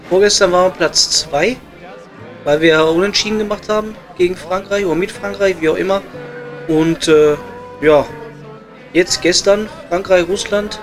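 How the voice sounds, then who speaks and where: A man acts out a gruff but chummy coal miner from an improvised comedy audio play. His voice sits high for a man, with a Ruhr German accent and blunt, slangy pub banter. Faint music plays behind him. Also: moderate pace, pauses often, natural voice. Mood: grumbling, chatty.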